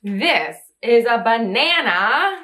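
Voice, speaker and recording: A woman's voice: a little high, big pitch swings, slow pace, pushed and bright, exaggerated voice. A woman voicing a small, boastful, teasing mouse in a picture book who insists it is a tiger. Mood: confident.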